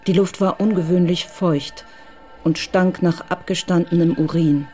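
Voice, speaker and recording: A woman reads scripted narration for a radio drama. Her voice is warm, dark and somewhat low, the delivery measured and matter-of-fact, with soft music faintly behind. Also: steady tone.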